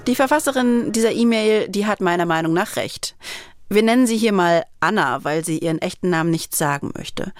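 A woman's voice: medium pitch, dry recording, studio quality. A woman hosting a podcast, narrating a scripted introduction and asking her guest questions. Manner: clear, measured storytelling delivery. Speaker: podcast host and interviewer.

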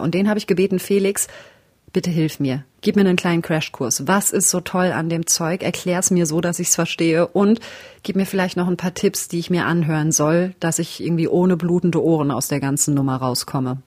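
A woman's voice: on the low side, moderate pace, a little mellow. A woman, a radio journalist, talking through her music challenge in a chatty, frank and self-mocking way. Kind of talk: casual first-person reportage.

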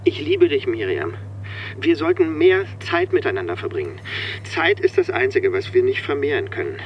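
A man's voice: medium pitch, huge pitch swings, moderate pace, vocal fry, muffled recording, a little hiss or hum. An actor playing a harried, cocky manager in his forties in a naturalistic radio drama, indignant and evasive, stammering under pressure. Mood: eager, earnest. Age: 40s. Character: harried, cocky middle-aged car-industry manager and family man. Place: voice heard as if driving inside a car.

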